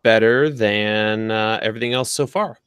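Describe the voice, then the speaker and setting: 30s guy in a home workshop, low voice, chill, laughs a lot, close dry mic. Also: moderate pace, a bit bright, clear, resonant tone, no room sound. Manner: laid-back, easily laughing. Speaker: co-host. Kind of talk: casual chat on a video call. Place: home workshop with tool wall. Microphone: headset with desk mic.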